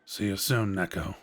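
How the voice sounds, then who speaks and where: An actor playing a sly, knowing quest-giver in a fantasy audio roleplay, measured and teasing as he persuades the listener. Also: sits low, fairly bright tone, full character voice. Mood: smooth, reassuring.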